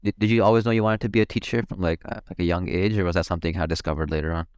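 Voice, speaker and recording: A man's voice: low-pitched, fairly even intonation, very fast, darkish tone, clean tone, no room sound, studio quality. A young man interviewing casually, hedging with loose fillers.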